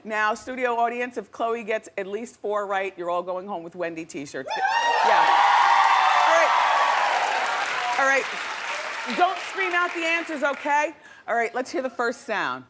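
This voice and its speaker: Brash teasing talk show hostess. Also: female voice, on the high side, lively intonation, unhurried, pauses often, over a noisy crowd, very noisy. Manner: brash, teasing, big laughs. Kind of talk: daytime talk show interview. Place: TV studio. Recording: studio with loud audience. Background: studio audience cheering.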